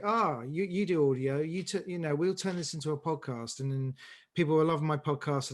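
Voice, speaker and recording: A man talking casually as an interview guest, explaining things emphatically and acting out what people say. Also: medium pitch. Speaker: audio drama director, interview guest.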